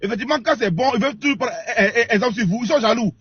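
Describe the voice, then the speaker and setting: A man's voice: very high-pitched, very fast, a crowd in the background. A man with a West African accent hosting a heated live debate, cutting in and insistently repeating his phrases. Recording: dry, dull lo-fi stream audio.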